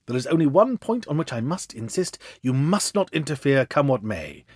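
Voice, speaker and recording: A man reading a storybook as a confident, commanding Victorian gentleman detective with an assured, theatrical manner. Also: medium pitch, very animated, moderate pace, breathy edge, dry recording.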